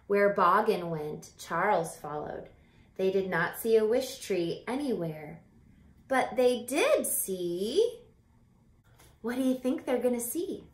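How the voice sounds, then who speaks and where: A woman in her thirties reads a picture book to young children. Her delivery is slow and expressive, with drawn-out words and little asides to the listeners. The recording has the roomy echo of a camera mic in a home. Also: mid-range voice. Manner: animated, deliberate, drawn-out phrasing with hushed whispers. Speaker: teacher reading a picture book aloud. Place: small home room by a window.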